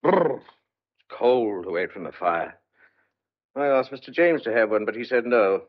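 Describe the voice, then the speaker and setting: A man's voice: mid-range voice, animated, a little slow. An actor playing an opinionated, teasing older country father in an old-fashioned audio drama. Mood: genial, grumbling. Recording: dull, muffled lo-fi audio.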